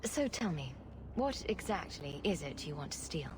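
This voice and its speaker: A woman's voice: deep voice, sing-song, moderate pace, noisy background. An actress voicing a witty, sarcastic female rogue hero in a video game, dry and playful in delivery. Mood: flirtatious, curious. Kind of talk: video game character acting.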